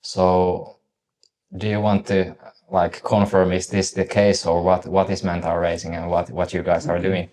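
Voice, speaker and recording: A man with a very deep, level voice asks long, loosely built questions, filling them with "like" and restarting his sentences. His talk is casual and unpolished. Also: level tone, unhurried, darkish tone. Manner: rambling, heavy use of "like" and restarts. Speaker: podcast host, interviewer.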